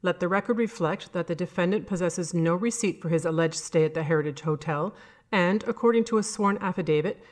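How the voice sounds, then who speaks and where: Slightly low-voiced woman narrating fiction. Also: a little fast, a little mellow. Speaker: audiobook narrator. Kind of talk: dramatic short-story narration.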